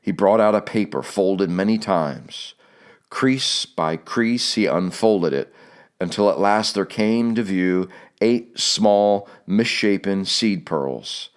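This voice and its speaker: A man's voice: low voice, smooth. A man reading a literary audiobook as scripted narration.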